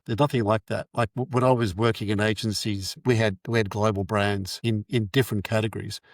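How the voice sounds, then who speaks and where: A man's voice: fairly low pitch, fairly even intonation, a little fast, dry recording, studio quality. Rambling middle-aged male podcast host. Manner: rambling, many restarts and fillers. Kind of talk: casual interview chat.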